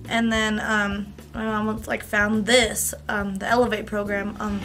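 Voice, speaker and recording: Young female interviewee, medium pitch, chatty and anecdotal, faint music underneath. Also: flat delivery, unhurried, fairly bright tone. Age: young adult. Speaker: employee being interviewed. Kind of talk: casual chat, anecdotal.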